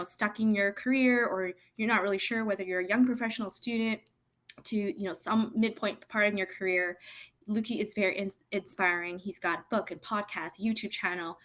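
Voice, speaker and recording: Woman host on a video call, enthusiastic, chatty, lots of "you know"s, mid pitch. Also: pushed and bright. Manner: enthusiastic, chatty, many fillers. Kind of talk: live-streamed interview.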